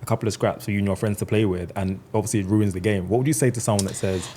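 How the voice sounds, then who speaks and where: A man's voice: lowish voice, fairly even intonation, very fast, mellow, a little hiss or hum. A man hosting a podcast, asking long, reflective questions in a thoughtful way. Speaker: podcast host. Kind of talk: conversational podcast interview.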